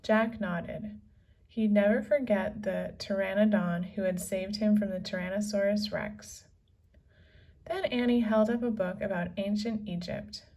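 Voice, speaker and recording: A woman reading a children's story aloud as narrator, with measured, deliberate phrasing. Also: mid-range voice, fairly even intonation, a little mellow. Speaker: storybook reader. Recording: slightly distant from the microphone.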